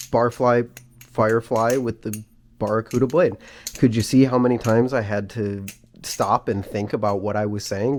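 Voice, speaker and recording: A man with a stuffy, plugged-up nose from a cold talks straight to his viewers in a loose, chatty vlog. He rambles and restarts his sentences as he goes, in an everyday middle-pitched voice. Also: a little mellow. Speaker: host of a knife channel. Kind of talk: casual vlog chat.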